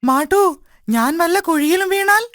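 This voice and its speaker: A woman's voice: very high-pitched, expressive, a little slow, fairly bright tone, no room sound, clean studio sound, heavily acted. A woman voicing a young male baby elephant in a children's cartoon, childlike, restless and complaining. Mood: hesitant, curious. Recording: music behind the voice.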